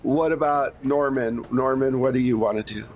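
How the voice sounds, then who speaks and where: Male game master over faint rumbling noise. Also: moderate pace, a little mellow, low-quality recording. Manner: conversational, improvising scenes and prompting players. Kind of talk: casual tabletop game narration.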